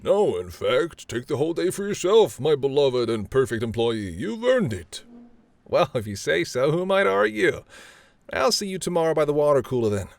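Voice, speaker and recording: A man's voice: on the high side, very animated, normal speed, dry recording. A man playing a charming, teasing mafia boss who is smitten, speaking intimately and playfully to the listener in a roleplay audio drama. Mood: mock-earnest. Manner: smooth-talking, playful, flirtatious, addresses listener as doll. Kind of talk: intimate second-person roleplay audio acting.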